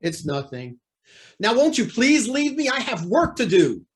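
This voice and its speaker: A man's voice: very high voice, huge pitch swings, measured pace, exaggerated voice. A man playing a troubled, guilt-ridden judge, abrupt and defensive, in old-time radio drama style. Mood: troubled, curt. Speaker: actor in a radio play.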